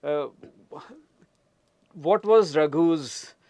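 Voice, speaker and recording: A man's voice: on the high side, very slow, darkish tone, dry recording. A man with an Indian accent interviewing casually as a radio host, mixing two languages as he talks. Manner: switches between English and Hindi. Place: radio studio.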